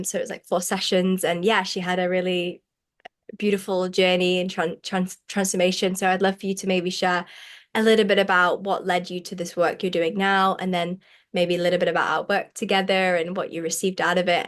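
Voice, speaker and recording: A woman's voice: lowish voice, level tone, normal speed. A woman hosting an online session, talking in a chatty, rambling way full of likes. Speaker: program host, facilitator. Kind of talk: casual video call chat. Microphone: video call.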